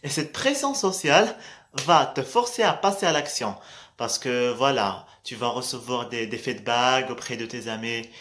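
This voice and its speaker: Un jeune homme à l'marocain, dont l'arabe est la langue maternelle, qui parle en vlog motivant et décontracté, hésite souvent et répète « voilà ». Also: male voice, mid-range voice, expressive, normal speed, fairly bright tone. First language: Arabic. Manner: hesitant, restarts often, says "voilà" a lot, laughs between points. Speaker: vlogger.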